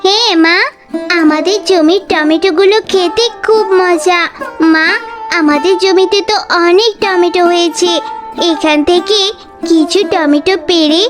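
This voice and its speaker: Very high-pitched little girl fox, acted by a woman. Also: unhurried. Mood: cheerful. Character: sweet hopeful young daughter fox in a folk-tale cartoon. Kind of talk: children's cartoon voice acting. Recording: music behind the voice.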